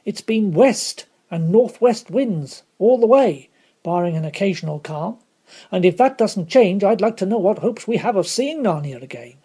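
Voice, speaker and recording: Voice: mid-range voice, mellow, breathy edge, pitched above the performer's own voice. A reader voicing a worried male master bowman who asks his questions earnestly and respectfully.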